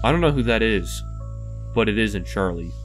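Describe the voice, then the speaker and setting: A young man acting in a comic dub as a brooding, grieving, haunted young man, weary and halting in his terse delivery. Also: lowish voice, expressive, lightly acted. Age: young adult. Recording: roomy reverb, faint music underneath.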